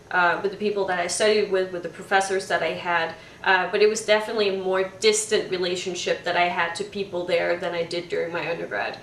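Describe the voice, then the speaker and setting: A woman in her twenties from Denmark, a former student speaking thoughtfully about her studies, with frequent pauses and restarts. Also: medium pitch, fairly bright tone, reverberant, distant mic, a little hiss or hum, low-quality recording. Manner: thoughtful, hesitant with many ums and restarts. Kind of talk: casual talk.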